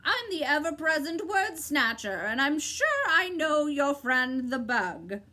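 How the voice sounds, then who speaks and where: A woman voicing a pompous, meddling male bird in a storybook reading, with a shrill, swooping, cackling manner. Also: big pitch swings, measured pace, bright, forceful tone, exaggerated voice. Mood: mocking.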